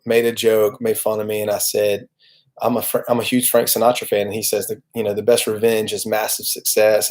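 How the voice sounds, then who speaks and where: A man's voice: mid-range voice, darkish tone. A man in his late thirties giving an informal, reflective motivational monologue, talking earnestly and searching for his words as he goes. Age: late 30s. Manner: halting, searching, conversational, earnest. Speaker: motivational video host.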